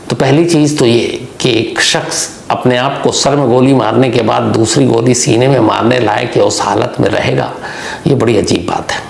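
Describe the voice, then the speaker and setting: Male true-crime host, gravelly and explanatory, telling it unscripted in a reverberant room. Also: medium pitch, darkish tone. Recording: echoey room, faint background noise.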